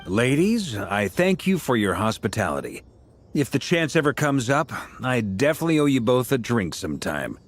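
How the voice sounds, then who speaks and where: A man's voice: mid-range voice, sing-song, moderate pace. A playful, courteous gallant from a fantasy game. He speaks with polite flourish, his mid-range voice moving expressively. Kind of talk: scripted game dialogue acting.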